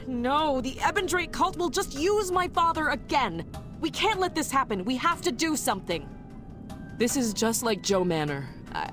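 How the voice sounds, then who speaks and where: An actress playing an anguished, determined young woman warrior in a video game, with an emotional, halting delivery. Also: a little high. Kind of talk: video game cutscene acting. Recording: music under the voice.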